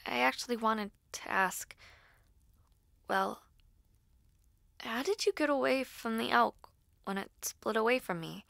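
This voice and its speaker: A woman acting an earnest, nervous school-age girl who dabbles in spells, speaking haltingly and intimately to the listener in an audio roleplay. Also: fairly high pitch, vocal fry, no room sound, clean studio sound. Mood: puzzled, relieved. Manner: hesitant, halting, soft-spoken asides.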